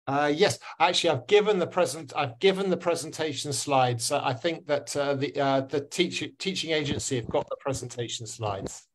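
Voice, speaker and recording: A man's voice: a little high, normal speed, hardly any pauses, a little hiss or hum, rough recording. Hesitant man teaching pronunciation. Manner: hesitant, many fillers and restarts. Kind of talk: online class. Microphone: video call.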